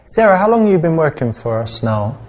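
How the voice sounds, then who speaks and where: Laid-back, casual office boss. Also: male voice, a little high, sing-song. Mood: friendly, easygoing. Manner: casual, halting conversational delivery. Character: laid-back, friendly male office boss, wealthy businessman. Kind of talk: acted office dialogue in live-action game cutscenes.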